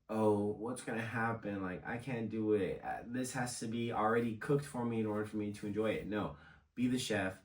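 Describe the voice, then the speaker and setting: A young man with a slightly raspy voice, hosting a casual motivational vlog in a conversational, encouraging way. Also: fairly low pitch. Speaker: host of a motivational video series. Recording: echoey room, distant microphone.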